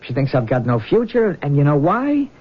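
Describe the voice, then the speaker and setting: An actor playing an earnest young soldier in love in a radio drama, speaking haltingly and eagerly. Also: male voice, fairly high pitch, very animated, breathy edge. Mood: frustrated, pleading. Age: young adult. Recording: muffled old radio broadcast.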